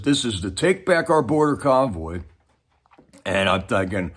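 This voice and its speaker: A man hosting a livestream with casual, rambling, folksy commentary, speaking straight to his viewers. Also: fairly low pitch. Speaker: livestream host.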